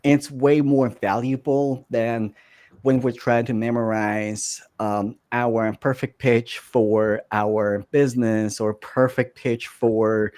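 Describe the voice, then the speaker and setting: A man's voice: mid-range voice, a little mellow. Thirties man from Russia, coach guest, chatty and full of restarts. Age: 30s. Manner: conversational, many fillers and restarts. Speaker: career and business coach. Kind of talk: podcast guest telling his story.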